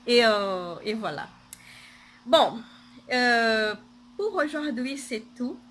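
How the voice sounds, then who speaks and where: A woman's voice: a little high, expressive, takes it slowly, a bit bright, a little hiss or hum. A woman with a Portuguese accent, a native Portuguese speaker, chatting casually on her knitting podcast, hesitant, with many fillers and restarts.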